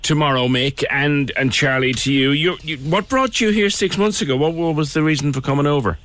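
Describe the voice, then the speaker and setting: A man's voice: a little high, brisk, a bit bright. A man hosting a radio show, chatting and joking with his guests in a casual interview.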